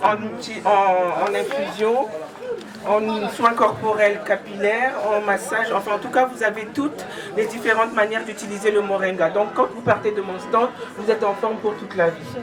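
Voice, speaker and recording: A woman exhibitor explaining her products at a trade fair stand, with halting starts. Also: mid-range voice, steady tone, a crowd in the background. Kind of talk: product presentation. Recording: distant mic in crowded fair.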